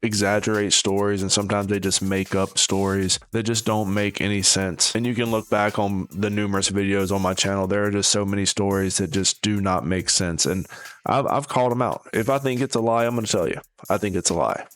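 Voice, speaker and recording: A man's voice: fairly low pitch, fairly even intonation, brisk, fairly bright tone, faint music behind. A man hosting a reaction video, talking casually in an opinionated, critical and rambling way. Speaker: video host, commentator. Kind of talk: casual reaction commentary to camera.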